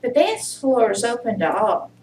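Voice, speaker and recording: A woman reciting poetry at a church service in a measured, deliberate way. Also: fairly high pitch, very animated, darkish tone. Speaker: congregation member reading inmates' poetry. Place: church service, indoor room. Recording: roomy, noisy pickup far from mic.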